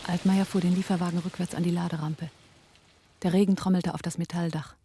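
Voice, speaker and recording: Woman acting as composed female narrator, crime radio play, gravelly fry, mellow, restrained intonation. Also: fairly low pitch, level tone, brisk, a little hiss or hum, lightly acted. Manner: composed, matter-of-fact storytelling. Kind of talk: scripted radio drama narration.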